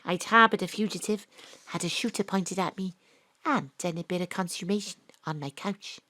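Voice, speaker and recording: A woman with a Welsh accent, narrating and playing the lead in a comic radio drama with a wry, brash, deadpan delivery from a home studio. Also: fairly low pitch, normal speed, no room sound. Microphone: studio mic over a live internet link.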